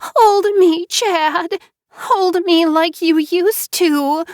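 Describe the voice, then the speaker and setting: A woman's voice: animated, slow, fairly bright tone, no echo, studio quality, full character voice. A whining, tearful young bride, as a woman narrator puts her on. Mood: tearful pleading. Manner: high little-girl whine, sobbing pleas.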